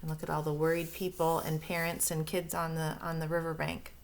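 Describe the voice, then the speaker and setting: A woman's voice: low-pitched, monotone, brisk, vocal fry, slight background noise. A woman reading a picture book aloud to young children, expressively, with explanations and questions along the way. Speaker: storybook reader.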